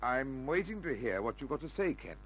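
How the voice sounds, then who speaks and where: A man's voice: mid-range voice, sing-song, a little fast, fairly bright tone. An actor playing a wary, controlled male crime boss who questions guardedly, in an old-time radio crime drama. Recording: humming, noisy old radio recording.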